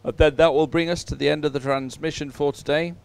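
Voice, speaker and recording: A man giving unhurried live sports commentary, filling time between races in halting phrases with frequent pauses. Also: on the high side, steady tone, gravelly, smooth, dry recording.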